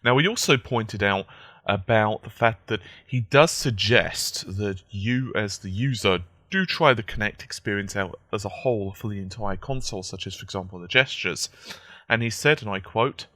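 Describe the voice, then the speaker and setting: A man with a croaky, just-woken-up voice, giving casual, analytical gaming news commentary. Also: on the low side, moderate pace, pushed and bright, dry recording. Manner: conversational, analytical.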